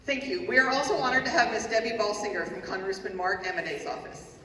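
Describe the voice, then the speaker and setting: A woman's voice: medium pitch, quick pace, a bit bright. A woman with a Texas accent emceeing a ceremony, introducing guests with friendly joking asides and small stumbles. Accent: Texan. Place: large indoor hall. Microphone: podium mic. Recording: echoey hall from far off, crowd noise.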